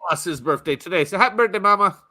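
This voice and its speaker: A man's voice: high-pitched, animated, fast talker, bright, forceful tone. A man co-hosting a podcast in casual, teasing banter, often repeating words as he starts a thought. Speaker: podcast co-host.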